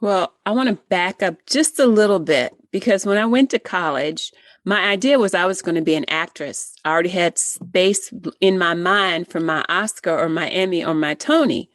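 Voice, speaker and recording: A woman's voice: lowish voice, moderate pace, no room sound, studio quality. A middle-aged woman telling her story as an interview guest, candid and plainspoken.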